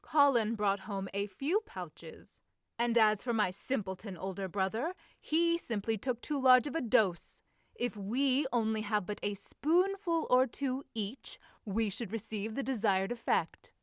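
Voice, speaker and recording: A woman's voice: a little high, animated, measured pace, no echo, clean studio sound. A woman reading as a confident, scheming young society lady, eager and formal in a period story. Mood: eager, coaxing. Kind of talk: audiobook-style character reading.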